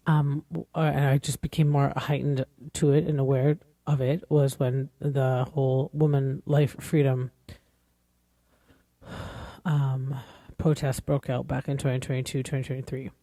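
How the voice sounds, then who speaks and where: A woman in her forties talks straight into the mic in a loose, rambling podcast monologue. Her tone is earnest and conversational, with a warm, mellow voice. The sound is dry, with no room echo. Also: a bass voice, flat delivery, unhurried. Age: 40s. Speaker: podcast host.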